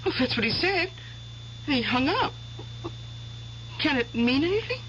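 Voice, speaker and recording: An inquisitive young wife, eager and chatty, with a bright, clear tone. Her voice comes through a muffled old radio recording with hiss. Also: high voice, sing-song, a little slow, heavily acted. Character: curious young pregnant wife. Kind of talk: 1970s radio drama acting.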